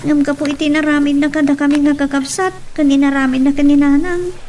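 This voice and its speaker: A woman's voice: high voice, flat delivery, a little fast, darkish tone, natural voice. A woman playing a hurt, resentful young grandchild in an Ilocano audio drama, with a Filipino accent. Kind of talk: Ilocano radio-style drama acting. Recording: distant mic with background music.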